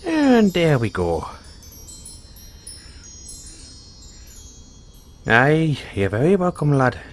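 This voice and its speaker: A man's voice: a little high, huge pitch swings, unhurried, soft background music. A man voicing a kindly, playful leprechaun in an audio roleplay, folksy and reassuring. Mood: warm, encouraging. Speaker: roleplay performer. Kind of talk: audio roleplay, speaking softly to one listener.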